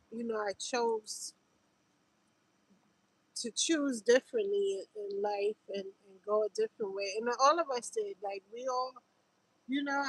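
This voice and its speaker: Casting: female livestream host, New York accent, fairly high voice, very unhurried, informal and rambling delivery. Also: fairly bright tone, rough recording. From Harlem, New York City, United States. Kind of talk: casual livestream chat.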